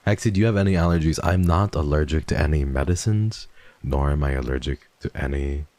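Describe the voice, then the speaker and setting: Deep voiced guy streaming, chatty and sweary, bounces up into high yelps, dry mic. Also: a little fast, no room sound. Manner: swears freely, jumps between mumbling and excited high yelps. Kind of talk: casual chat with viewers.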